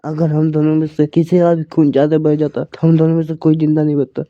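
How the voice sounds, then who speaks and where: A man's voice: a little high, completely flat delivery, a little fast, dark tone, muffled recording, close to an everyday voice. A man voicing a determined, loyal, hot-headed young male ninja in an anime fan dub, earnest and emphatic.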